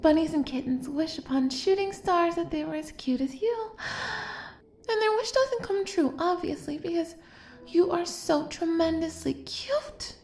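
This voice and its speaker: A woman's voice: very high-pitched, lively intonation, unhurried, a little mellow, roomy sound, exaggerated voice. A woman playing an obsessive, doting young female kidnapper in an intimate roleplay audio, speaking straight to the listener in a cutesy, gushing, coaxing way. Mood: gushing, teasing.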